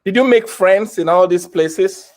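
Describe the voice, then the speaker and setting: A high-voiced man hosts a casual interview, asking questions gently. He keeps up steady affirming murmurs and an encouraging tone. He serves as a pastor. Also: measured pace.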